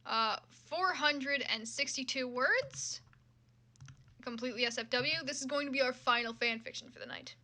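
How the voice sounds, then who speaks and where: A woman's voice: high voice, normal speed, sharp tone. A woman livestreamer chatting casually and reacting as she browses, with a sweary, exclaiming, self-deprecating manner. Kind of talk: casual livestream chat, reacting to fan fiction.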